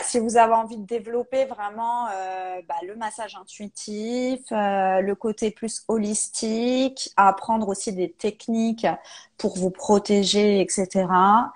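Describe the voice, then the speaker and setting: A woman coach interviewing a guest in a casual, encouraging way. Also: fairly high pitch. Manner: encouraging, brief backchannels.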